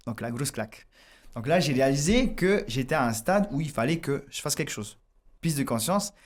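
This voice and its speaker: A man with a Tahitian accent speaks in a radio studio as a fitness coach guest. His voice sits at a middling pitch. He explains eagerly, stumbling into restarts and fillers as he goes. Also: expressive, normal speed.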